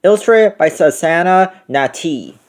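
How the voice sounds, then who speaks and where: A man's voice: on the high side, animated, unhurried. A man narrating a children's storybook as a read-aloud.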